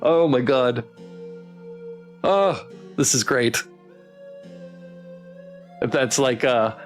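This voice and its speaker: A man streaming a visual novel playthrough, reading and reacting in a theatrical storytelling way. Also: medium pitch. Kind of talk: visual novel playthrough with read-aloud narration and chat reactions. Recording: music behind the voice. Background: music.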